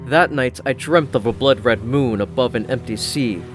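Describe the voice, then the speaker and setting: A man's voice: medium pitch, moderate pace. A man reading scripted first-person fiction as an audiobook narrator. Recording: narration over prominent music.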